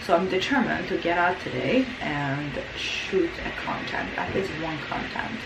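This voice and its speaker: A young woman with a flu-roughened, raspy voice, sitting a little low in pitch. She chats to her vlog audience in a tired, rambling way and repeats words as she goes. The room is echoey, and a steady noise sits behind her. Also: a little slow. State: sick with flu, hoarse throat. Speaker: lifestyle vlogger. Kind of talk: casual vlog chat to camera. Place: indoors at home.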